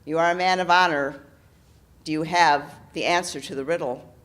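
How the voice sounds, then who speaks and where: Woman storyteller playing a loud scornful knight, impatient, threatening. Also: sits low, steady tone, moderate pace, voice pushed down. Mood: impatient, angry. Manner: loud, blustering. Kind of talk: oral storytelling.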